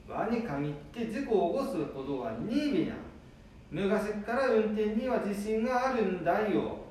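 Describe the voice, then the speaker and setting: A man's voice: high voice, lively intonation, normal speed, darkish tone, a little hiss or hum. A man playing a proud, stubborn elderly grandfather with a Nambu dialect from northeastern Japan in an amateur radio drama. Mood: boastful, defiant. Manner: defiant, blustering, insistent. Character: stubborn, proud elderly grandfather who refuses to stop driving. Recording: very echoey room, distant mic.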